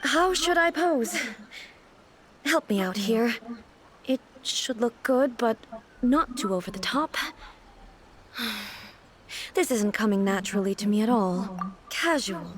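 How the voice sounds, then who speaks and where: A woman playing a dignified, earnest, naively puzzled ruler in a video game, speaking in a formal, deliberate way. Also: a little high. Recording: music playing behind the voice.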